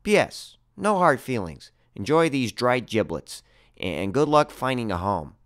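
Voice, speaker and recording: Man as a blunt, lively butcher lady. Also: medium pitch, unhurried. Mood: gruff, grudging. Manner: blunt, brusque. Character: gruff, blunt woman butcher. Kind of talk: storybook character read-aloud.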